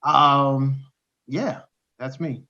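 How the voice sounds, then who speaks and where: A man, a rapper and poet, talking casually on a video call, pausing often with ums and restarts. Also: medium pitch. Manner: halting, many ums, animated when quoting lyrics. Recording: dull lo-fi call audio.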